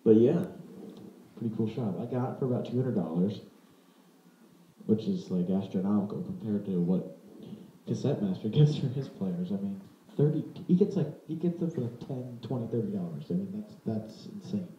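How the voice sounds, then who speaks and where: A man's voice: fairly low pitch, lively intonation, moderate pace, dark tone, slight background noise. A man giving a casual, unscripted product demonstration as a vlogger, rambling informally and correcting himself as he goes. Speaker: vlogger presenting vintage audio gear. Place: indoor room. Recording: roomy, muffled home-video sound.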